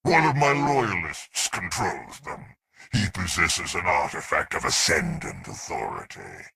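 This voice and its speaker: A man playing a grandiose, indulgent, scheming alien emperor in a video game, with a deep, raspy voice and pompous, theatrical delivery that savors each word. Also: sing-song, unhurried, crowd noise, heavily acted. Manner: pompous, theatrical, savoring words with big swells. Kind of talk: scripted video game dialogue.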